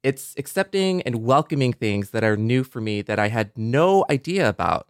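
Animated American man co-hosting a podcast. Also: mid-range voice, moderate pace, smooth, no room sound, studio quality. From Detroit, United States. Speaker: podcast co-host. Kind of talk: casual conversational podcast talk.

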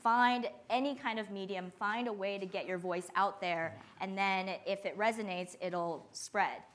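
A woman's voice: medium pitch, fairly even intonation, measured pace, fairly bright tone. An American woman, a filmmaker, chatting informally on a panel and saying "like" often. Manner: informal, conversational, frequent "like". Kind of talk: casual panel talk.

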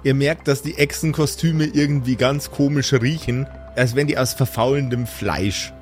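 A man's voice: medium pitch, normal speed. A man narrating an improvised tabletop fantasy game as game master, in a casual, joking way. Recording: background music under voice.

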